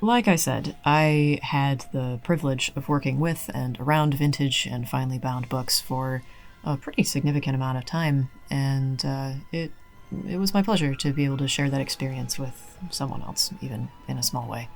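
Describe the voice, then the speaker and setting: Casting: explanatory speaker, medium pitch, with music underneath. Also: normal speed. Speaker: book maker. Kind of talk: explanatory talk.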